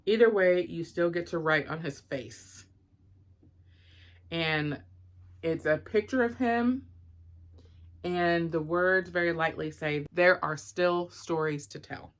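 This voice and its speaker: A woman's voice: lowish voice, slow, pauses often. A woman giving an informal, rambling book review and unboxing, thinking aloud with frequent asides.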